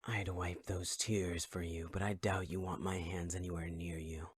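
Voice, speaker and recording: A man voicing a taunting young male fire villain in an audio roleplay, speaking in a husky, mocking, intimate voice with close whispers. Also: low-pitched, little pitch movement, moderate pace, no room sound. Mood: cruel. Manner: mocking, cruelly patient, intimate. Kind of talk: ASMR audio roleplay acting.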